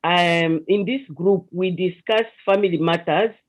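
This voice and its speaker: A woman with a Zambian accent and a deep voice, earnestly explaining her counseling work as a guest in an online interview, with hesitant restarts. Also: a little slow. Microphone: video call. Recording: lo-fi video call audio.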